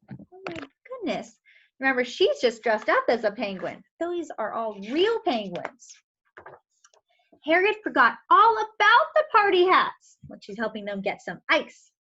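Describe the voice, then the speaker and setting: A woman reads a picture book to young listeners. Her voice is high and bright, swinging widely in pitch. She is expressive, stopping to ask questions and explain things simply. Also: muffled recording. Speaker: children's storyteller.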